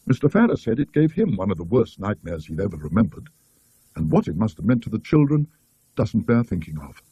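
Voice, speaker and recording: Man voicing an animated, indignant British country lady. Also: medium pitch, husky, no room sound, lo-fi sound. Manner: animated, disapproving, emphatic. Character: indignant gossipy country lady telling a scandal.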